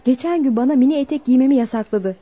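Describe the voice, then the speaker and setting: A woman's voice: high-pitched, fast. A woman playing a vain, scheming young woman in a radio drama, flippant and haughty. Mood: mocking. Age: young adult. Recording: dull lo-fi radio recording.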